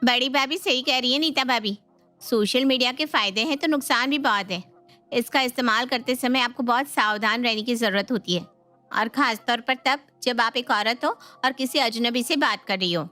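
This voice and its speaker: A woman voicing a sharp-witted, watchful young sister-in-law in a family storybook, talking in a knowing, pointed way. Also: on the high side, normal speed. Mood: cautioning. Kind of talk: dramatised Hindi family storybook. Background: music.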